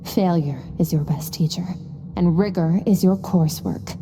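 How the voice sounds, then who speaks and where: A woman playing a stern, aloof master swordswoman in a video game, lecturing in a cool, measured, terse manner. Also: lowish voice, mellow, in a large hall, faint music behind, natural voice. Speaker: sword instructor. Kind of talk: scripted video game voice acting.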